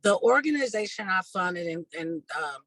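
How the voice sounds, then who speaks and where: Husky, bright-voiced woman activist being interviewed. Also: mid-range voice, expressive, moderate pace, no echo. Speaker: community organizer and activist, interview guest. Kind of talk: conversational interview answers.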